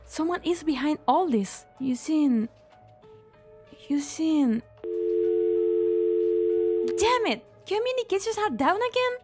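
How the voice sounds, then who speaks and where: An earnest young female researcher, voiced by a woman, with a very high voice. She sounds anxious and expressive, sometimes hesitant, in game drama acting over faint music. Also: slow pace, pauses often. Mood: urgent. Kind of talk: English fan dub of a game story.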